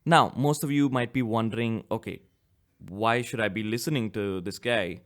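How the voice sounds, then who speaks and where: A man's voice: lowish voice, normal speed. Young man hosting his own podcast. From India. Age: 20s. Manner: rambling, self-correcting, chuckles at himself. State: slightly sick with a cough. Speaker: podcast host. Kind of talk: casual solo podcast talk.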